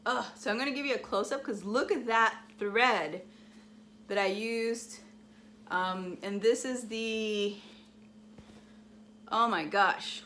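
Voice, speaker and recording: A woman's voice: mid-range voice, fairly bright tone, slight background noise. A woman whose first language is Spanish, chatting in a bubbly, gushing way as she shows off her crafts. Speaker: crafting vlogger. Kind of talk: casual show-and-tell chat.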